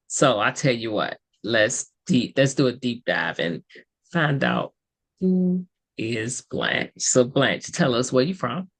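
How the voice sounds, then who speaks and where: A woman's voice: extremely low pitch, sing-song, slow. A woman hosting a talk show, upbeat and promotional in her welcome. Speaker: host. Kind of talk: podcast hosting.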